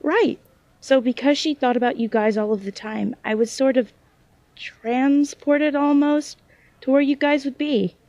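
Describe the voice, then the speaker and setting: A hesitant young woman played by an actress in an audio drama, with a fairly high voice. She speaks haltingly and doubts herself. The sound is dry and a little dull. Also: darkish tone, no room sound. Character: hesitant young woman with unreliable psychic sight. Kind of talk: naturalistic audio drama dialogue.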